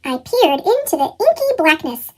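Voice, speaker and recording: A woman's voice: sing-song, normal speed. A woman reading a scripted children's story, with her voice shifted up into a chipmunk effect. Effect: chipmunk pitch-shifted voice. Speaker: storybook reader.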